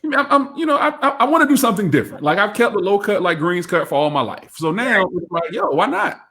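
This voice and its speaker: Animated man in his thirties, lively pitch. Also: fast. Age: 30s. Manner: animated, laughing, jumps high in pitch when joking. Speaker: co-host. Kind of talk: casual panel chat.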